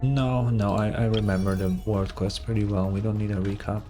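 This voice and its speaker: A man's voice: on the low side, flat delivery, brisk. A man streaming a video game with casual, informal, sweary commentary, game music playing behind him.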